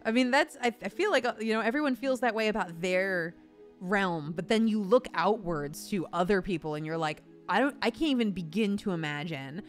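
A woman's voice: medium pitch, lively intonation, brisk, faint music behind. A woman talking casually as a voice actor and host, acting out imagined quotes as she explains things. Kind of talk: casual conversational discussion.

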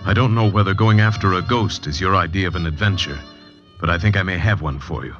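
A man's voice: sits low, fast talker, fairly bright tone, soft background music. An actor playing a troubled, earnest artist in his thirties in a 1940s radio drama. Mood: earnest, intrigued. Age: 30s. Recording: dull vintage radio recording with noise.

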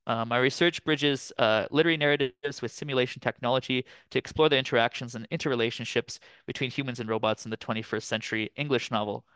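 A man's voice: medium pitch, fast, few pauses, clear, resonant tone, dry recording. Canadian-born man giving an online talk. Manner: relaxed, chatty, frequent fillers and "too". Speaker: guest lecturer. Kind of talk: online academic presentation. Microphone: computer mic on video call.

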